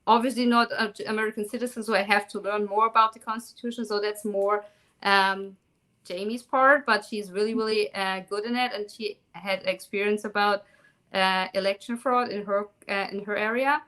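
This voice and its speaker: A woman with a German accent, speaking as a guest on a live online talk, often pausing with ums and restarting her sentences. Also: mid-range voice, fairly even intonation, a bit bright. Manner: halting, many ums and restarts. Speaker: guest, documentary maker.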